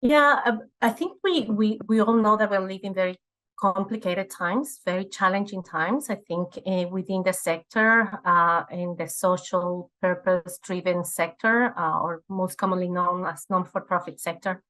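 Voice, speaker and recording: An earnest woman from Ecuador in her forties talks through her answers in a lowish voice. She searches for words often, repeating herself and filling pauses as she goes. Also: steady tone, fairly bright tone. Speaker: guest, nonprofit fundraising director. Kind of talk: conversational interview answers.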